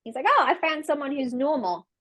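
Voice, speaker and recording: A woman's voice: sits high, animated, a little fast, fairly bright tone, dry recording. English woman chatting on a podcast, earnest and reflective, keeps restarting her sentences, dry close mic. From London. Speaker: podcast guest, leadership coach. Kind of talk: casual conversation.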